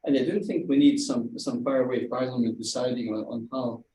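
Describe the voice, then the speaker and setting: A man speaking on an academic panel, halting and often repeating his words while he thinks. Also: medium pitch, steady tone, mellow. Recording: echoey room, distant mic, faint hiss.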